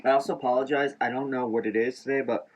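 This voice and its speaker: A man vlogging about his shaving routine in a relaxed, rambling way with lots of fillers, a little stuffed up and nasal. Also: medium pitch, fast, muffled recording. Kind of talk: casual chat to camera. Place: inside an RV.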